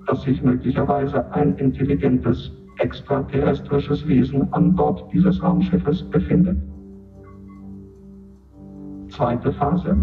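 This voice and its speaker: Impersonal report reader, formal, clipped, tinny radio filter, music behind. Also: male voice, mid-range voice. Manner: formal, clipped, matter-of-fact announcements. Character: impersonal official voice reading out reports and roll call. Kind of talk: scripted report in radio drama. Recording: tinny narrow band, distant, music behind.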